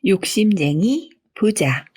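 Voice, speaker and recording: A woman reading scripted bedtime fairy tales for children in an expressive storytelling manner. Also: medium pitch, slow, slightly rough. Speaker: storybook reader.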